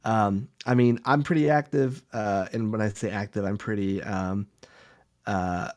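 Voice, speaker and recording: A man co-hosting a podcast in casual chat, speaking in an informal, somewhat halting conversational way. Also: lowish voice, steady tone, a little mellow. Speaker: podcast co-host.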